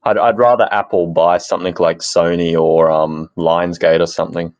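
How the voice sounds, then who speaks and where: A young man chats casually on a panel. His voice is very low, and the recording is dry and a bit muffled. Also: level tone, normal speed, darkish tone, dry recording. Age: 20s. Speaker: podcast panelist. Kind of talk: casual discussion.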